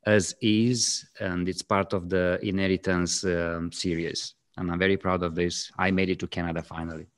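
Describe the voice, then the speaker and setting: A man in his thirties with a strong Romanian accent, co-hosting a casual video call chat, talking hesitantly with many pauses. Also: sits low, little pitch movement. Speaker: co-host, photographer. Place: home studio with framed art on walls. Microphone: studio mic on boom arm.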